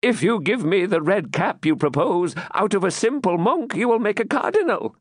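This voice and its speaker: A man's voice: very animated, dry recording, clean studio sound. A man doing a cheeky young jester. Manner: quick, pert, raised pitch.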